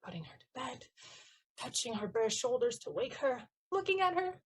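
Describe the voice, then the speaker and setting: Woman as a husky lovesick page boy. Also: high voice, expressive, moderate pace. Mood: yearning. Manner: ardent, florid, insistent. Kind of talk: theatrical period-comedy stage acting.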